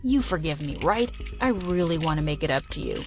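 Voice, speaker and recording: Smug sneering teen bully boy, voiced low and taunting, as a woman puts him on; cartoon story. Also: expressive, brisk, soft background music. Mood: fawning.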